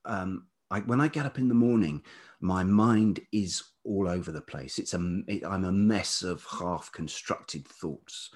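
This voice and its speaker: A middle-aged British man with a velvety voice, talking reflectively and haltingly as an interview guest, often circling back on his thoughts. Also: sits low. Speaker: podcast guest, writer and radio producer.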